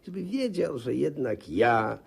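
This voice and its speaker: An actor in a 1950s Polish radio comedy playing a naive husband in awe of an old schoolmate, gushing, stammering and repeating himself. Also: male voice, mid-range voice, big pitch swings, a little slow, creaky, husky, full character voice. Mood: earnest, anxious. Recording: slightly muffled 1950s radio play recording.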